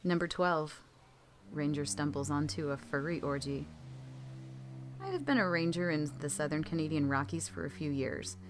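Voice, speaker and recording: A woman's voice: sits low, normal speed, background noise. A woman reading scripted true scary stories as a storytelling narrator.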